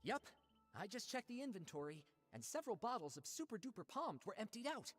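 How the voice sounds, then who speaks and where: An actress playing an exasperated, emphatic young worker in a video game. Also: female voice, mid-range voice, fairly quick, slightly rough, big-room sound, faint music behind. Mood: explaining. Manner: expressive, emphatic. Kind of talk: game voice acting.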